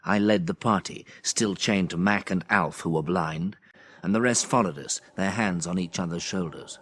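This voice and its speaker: An actor playing a grave survivor, giving sombre, measured dramatic narration in a radio play. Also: male voice, low-pitched, fairly bright tone, slightly rough. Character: grave male survivor recounting disaster.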